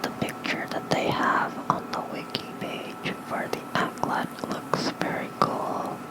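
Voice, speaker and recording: An ASMR host reading lore in a soft, breathy whisper into a close microphone, unhurried and halting, with informal asides. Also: poor audio. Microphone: close fluffy-covered ASMR microphone.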